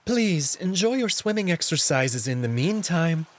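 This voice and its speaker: A man's voice: fairly high pitch, very animated, measured pace, pitched above the performer's own voice. A man voicing a meek, bashful male cat servant who speaks politely, hesitantly and with deference.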